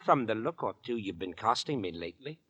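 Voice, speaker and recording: Good-natured young suitor, folksy and hesitant, animated rough voice, old radio drama. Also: male voice, lowish voice, fairly quick, dry recording, muffled recording. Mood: nervous, bashful. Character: bashful, earnest young working-class suitor, good-natured.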